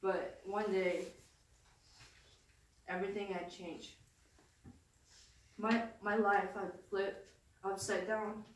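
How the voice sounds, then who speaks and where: Slow, halting teenage girl from the Philippines. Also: medium pitch, level tone, distant mic. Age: teens. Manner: halting, hesitant, with many restarts. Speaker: student speaker. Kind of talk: personal testimony speech. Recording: echoey room, mic from afar, faint noise.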